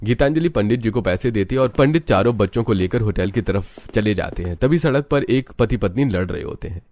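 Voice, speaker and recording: A man's voice: on the low side, lively intonation, brisk, a little mellow, no echo. A man reading scripted narration for a story. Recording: music under the voice. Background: background music.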